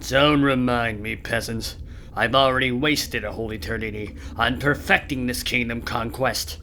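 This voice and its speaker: A man's voice: mid-range voice, steady tone, moderate pace, pushed and bright, a little hiss or hum, pitched below the performer's own voice, natural voice. A man voicing a pompous, menacing and impatient turtle monster king in a comic audio play, grandiose and full of contempt. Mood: menacing, scornful.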